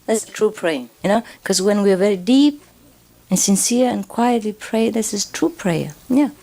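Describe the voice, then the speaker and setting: A woman's voice: mid-range voice, animated, moderate pace, vocal fry, mellow. Chatty Vietnamese-accented woman teaching. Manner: rambling, with frequent checks like "you know" and "huh?"; chuckles often while talking. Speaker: spiritual teacher. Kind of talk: informal spiritual lecture.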